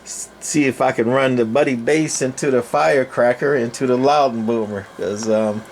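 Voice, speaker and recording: Casting: older male, mid-pitched, folksy halting rambler doing an informal gear demo, noisy indoor recording. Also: fairly even intonation. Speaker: presenter, CB radio collector. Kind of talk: casual unscripted equipment demo.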